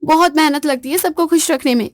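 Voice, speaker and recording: A woman plays a boastful, sharp-tongued young wife in a storybook tale, her voice pitched high above the narration. She sounds taunting and frazzled by turns, and the recording is close and dry. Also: fairly quick, dry recording, close to an everyday voice. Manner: taunting, then shrill and frazzled.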